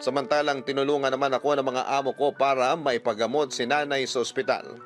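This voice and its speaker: A man's voice: mid-range voice, steady tone, quick pace. A man reading a scripted first-person story as a radio-style narrator. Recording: dry close voice over music.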